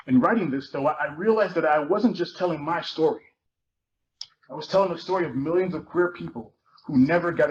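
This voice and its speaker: Higher-voiced man reading, muffled noisy recording. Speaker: performer reading aloud. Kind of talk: dramatic reading of a memoir. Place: live library reading event.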